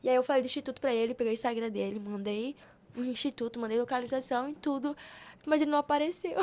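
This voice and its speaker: A woman's voice: high voice, steady tone, fast talker. A young Brazilian woman telling personal stories in a casual, informal podcast conversation, often acting out bits of quoted dialogue. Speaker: podcast guest.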